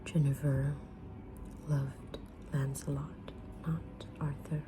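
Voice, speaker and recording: Kindly, enigmatic witch tarot reader acted by a woman, low hushed whisper, unhurried, for ASMR roleplay, over noticeable background noise. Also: level tone. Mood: calm, mysterious. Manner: slow, gentle, deliberate whispering with pauses. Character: kind, mysterious witch fortune teller, patient and sincere.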